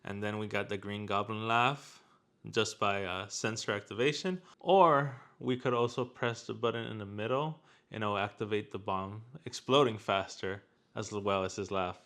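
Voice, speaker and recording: A man's voice: fairly low pitch, fairly even intonation, moderate pace, a bit bright. A man chatting casually as he hosts an unboxing and product review.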